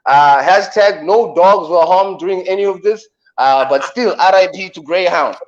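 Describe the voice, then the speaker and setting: Deep-voiced South African man joking. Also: muffled recording. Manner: joking, playful, drawn-out punchlines. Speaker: radio comedy co-host. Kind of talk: comic radio banter.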